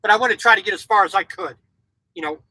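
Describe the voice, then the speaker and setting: High bright-voiced trucker, blunt and repetitive. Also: male voice, quick pace, no echo, poor audio. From grew up in Japan as a military child. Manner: blunt, repeats words and restarts, opinionated. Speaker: truck driver vlogger. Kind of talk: casual monologue to camera. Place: truck sleeper cab, small indoor space.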